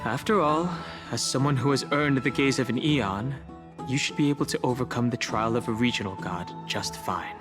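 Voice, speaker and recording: A reflective young adventurer from a fantasy game, acted by a man. His mid-range voice is calm and measured, with clear music playing underneath. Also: mid-range voice, close to an everyday voice. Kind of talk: video game voice acting. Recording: music behind.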